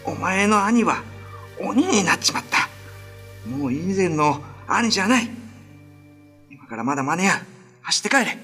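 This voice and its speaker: A man acts out a terrified village man in a ghost-story radio play. His voice is trembling and pushed high, stammering out rough, urgent warnings. Faint music runs underneath. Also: lively intonation, measured pace, pauses often, pushed and bright, exaggerated voice. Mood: frightened, urgent. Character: frightened villager man warning a girl away. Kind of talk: Japanese radio drama horror acting.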